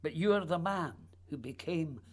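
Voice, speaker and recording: A man's voice: on the high side, lively intonation, normal speed, noisy background, close to an everyday voice. An actor playing a lonely, remorseful playwright mourning his lost friend, in a theatrical, sighing radio drama monologue. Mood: wistful, tender.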